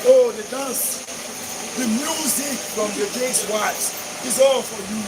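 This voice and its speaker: An eager, servile interpreter acted by a man in a live stage play, his voice high and his accent Igbo Nigerian. Clear noise sits behind him on the recording. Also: takes it slowly. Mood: deferential. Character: eager, servile local interpreter to a colonial officer. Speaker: stage actor.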